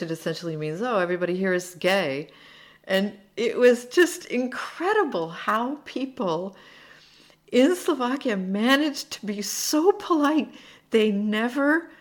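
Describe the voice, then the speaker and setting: A woman translator, speaking as an interview guest and telling animated, self-deprecating stories about learning languages, laughing easily. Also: medium pitch. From United States. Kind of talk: conversational storytelling.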